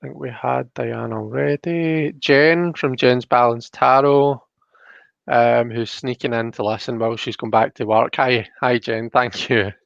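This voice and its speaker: A man's voice: medium pitch, fairly even intonation, measured pace, vocal fry, no room sound, dull audio. A man hosting a casual livestreamed tarot reading, explaining things in an informal, hesitant, chatty way. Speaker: host.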